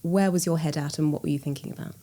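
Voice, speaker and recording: A woman's voice: deep voice, fairly even intonation, fast talker. A woman hosting an interview, asking short questions and murmuring agreement while her guest talks. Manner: brief questions and soft "mm" backchannel. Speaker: interview host.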